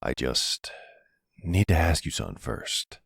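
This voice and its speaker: A man playing a middle-aged mafia boss and devoted stepfather in an intimate roleplay audio drama, with a husky, gravelly voice and an affectionate, wry way of talking. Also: very deep voice, very animated, measured pace, dry recording, studio quality, heavily acted.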